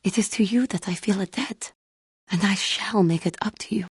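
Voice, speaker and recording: An actress playing a solemn, proud yet wounded female feline alien warrior monk in a video game, speaking in a formal, measured, guarded and earnest way. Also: mid-range voice, husky, dry recording, studio quality. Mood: grateful, earnest. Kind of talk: video game character dialogue.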